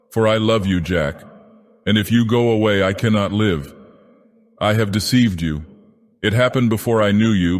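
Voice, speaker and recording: A man's voice: fairly low pitch, close to an everyday voice. A man voicing a distraught young woman, pleading in a strained, trembling voice. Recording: long reverb.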